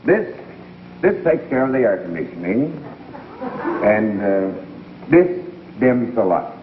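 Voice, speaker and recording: Prison sketch character, theatrical, lively intonation, 1950s comedy, thin noisy broadcast recording. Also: male voice, fairly low pitch, takes it slowly, narrow, tinny audio. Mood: polite showing off.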